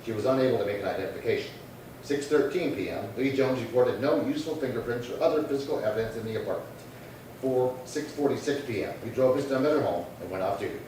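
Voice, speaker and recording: Radio drama role: actor as clipped, businesslike male detective, mid-pitched, heard far off in a reverberant hall. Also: quick pace, close to an everyday voice. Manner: deadpan, clipped, procedural. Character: matter-of-fact male police detective sergeant, terse and polite. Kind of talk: 1950s radio police drama narration and dialogue. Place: live theatre stage reading. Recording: echoey hall, distant mic, faint noise.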